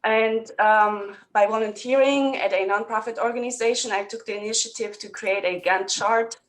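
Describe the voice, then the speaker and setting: A woman's voice: fairly high pitch, fairly even intonation, moderate pace, rough recording. A woman from Germany, giving a friendly, businesslike self-introduction as a student candidate on a video call. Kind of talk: prepared self-introduction speech.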